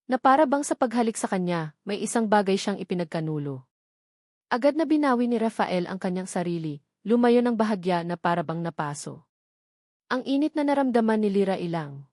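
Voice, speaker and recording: A Filipino woman reads a scripted love story as a dramatic narrator. Her pitch sits in the middle for a woman, and she paces phrases with deliberate pauses. The recording is very dry, with no room sound. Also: darkish tone, no room sound.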